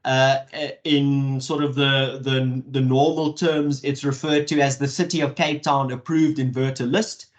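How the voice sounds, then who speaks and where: A man moderating an online webinar, speaking in a halting way with many fillers and restarts over a video call. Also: mid-range voice, little pitch movement. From South Africa.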